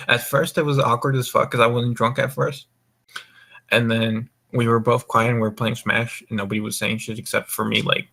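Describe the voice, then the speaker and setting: A man's voice: medium pitch, little pitch movement, normal speed, creaky, sharp tone. A young man hosting a gaming voice call, rambling casually in a laid-back way and swearing often. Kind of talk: casual group chat, rambling. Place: online voice call.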